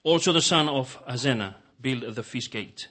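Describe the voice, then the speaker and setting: A man whose first language is Fijian, preaching a sermon in English in short phrases with many fillers, pausing for an interpreter. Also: mid-range voice, bright, forceful tone. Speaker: guest preacher.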